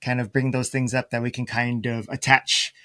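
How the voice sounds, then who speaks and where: A man's voice: mid-range voice, level tone, moderate pace, sharp tone. A man hosting a podcast, talking casually and informally in a slightly tentative, conversational way. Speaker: podcast host.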